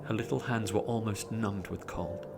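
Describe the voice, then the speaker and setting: A man narrating a story in a dramatic, expressive audiobook style, drawing out words theatrically. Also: fairly low pitch, moderate pace, gravelly. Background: music behind the voice.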